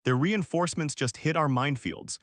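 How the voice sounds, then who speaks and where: A man playing an authoritative fleet admiral who gives formal, measured briefings in a science fiction story. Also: a little high, no echo, clean studio sound, lightly acted. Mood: satisfied.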